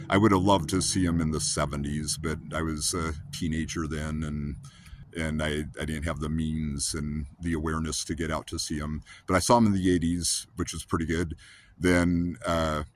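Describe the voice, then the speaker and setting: A man in his fifties with a low, rumbly voice, hosting a rambling, earnest casual monologue full of restarts. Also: noisy background. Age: 50s. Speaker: host.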